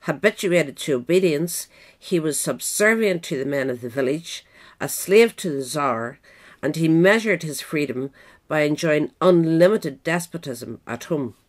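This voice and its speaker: A woman's voice: sits low, expressive, a little slow. A woman reading scripted nonfiction narration as an audiobook reader. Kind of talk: scripted narration of a political history essay.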